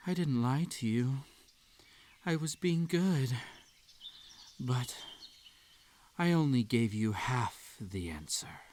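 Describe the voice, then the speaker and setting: A man's voice: mid-range voice, expressive, a little mellow. A man voicing an obsessive magical bunny in a hypnotic audio roleplay, coaxing and soothing with a desperate edge. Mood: wistful, soothing. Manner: slow, soothing, coaxing delivery. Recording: nature ambience behind the voice.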